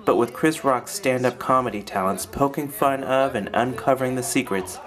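A man's voice: mid-range voice, moderate pace, a bit bright. A man giving a light, wry scripted voice-over narration.

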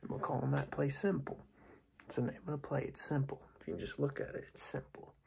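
A young man doing an ASMR basketball coach roleplay in a hushed, breathy, husky whisper, repeating himself as he addresses his team. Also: medium pitch, flat delivery, quick pace, slight background noise. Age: young adult. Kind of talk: ASMR roleplay pep talk and play explanation. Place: small dark room indoors.

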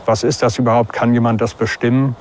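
A male hobby detectorist tells his account casually in an interview. He has a medium-pitched voice with slight ambient noise around it. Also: little pitch movement, quick pace, darkish tone. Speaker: amateur metal detectorist being interviewed.

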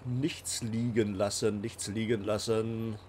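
A man's voice: fairly low pitch, little pitch movement, takes it slowly, darkish tone, slight background noise. A man with a cold, hosting a casual let's play and talking along with many fillers and restarts. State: has a cold. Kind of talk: casual game commentary.

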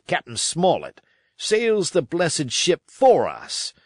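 Man acting a shrewd pirate ringleader, salty and scornful, unhurried, widely swinging pitch, storybook character. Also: dry recording, studio quality, exaggerated voice. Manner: salty sailor talk, scornful, emphatic. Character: Long John Silver, shrewd domineering pirate sea cook.

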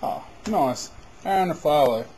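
A man giving casual running commentary as he opens packs of trading cards, breaking into loud bursts of excitement. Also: on the high side, unhurried, slight background noise. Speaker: card box breaker. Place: indoors at a table.

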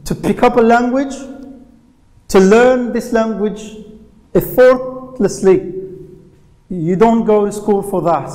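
A middle-aged man with a Moroccan accent teaches English in a classroom. His voice sits high, and he speaks slowly and patiently, with hesitant "uh" pauses between phrases. A long reverb rings around the room. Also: a little mellow. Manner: slow, patient explaining with frequent "uh" pauses. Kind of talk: classroom lesson explaining phrasal verbs. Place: classroom in front of a whiteboard.

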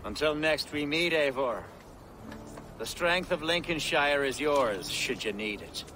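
An actor playing an earnest, courteous Anglo-Saxon nobleman and war leader in a video game, with a formal, measured manner. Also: on the high side, animated, over music. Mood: grateful, formal. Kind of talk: video game cutscene acting.

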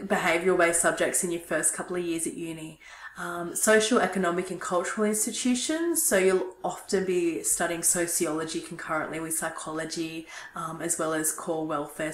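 A woman in her thirties giving a recorded university lecture, hoarse and throaty from losing her voice, relaxed and chatty with many ums. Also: lowish voice, reverberant. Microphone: webcam at desk.

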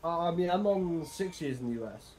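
A man's voice: fairly high pitch, animated, fairly quick, darkish tone, slight background noise, poor audio. Teasing man with a Northwest English accent. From Northwest England. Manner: teasing, swearing, bewildered. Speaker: teammate. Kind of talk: casual gaming chat.

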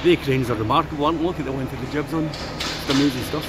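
Male vlogger, mid-pitched, casual and explanatory, filming handheld outdoors with loud machinery noise behind. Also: fast talker. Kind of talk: casual running commentary. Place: outdoors in stands of large open stadium. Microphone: handheld phone or camera.

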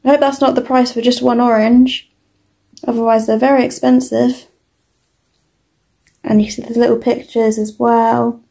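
A young woman with a British accent giving a casual, informal toy review as an unhurried walkthrough. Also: fairly high pitch, flat delivery, creaky, warm tone.

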